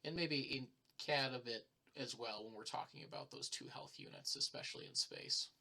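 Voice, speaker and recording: A man's voice: mid-range voice, normal speed, gravelly, sharp tone. A man chatting casually about card game strategy as the co-host of a channel. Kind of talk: casual deck discussion.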